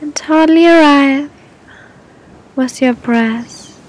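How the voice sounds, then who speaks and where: High-pitched woman slowly guiding a meditation. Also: rough recording. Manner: gentle, soothing, unhurried with long pauses. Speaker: meditation guide. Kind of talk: guided meditation, spoken softly to the listener. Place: outdoors on a beach. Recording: outdoor recording with low rumbling noise.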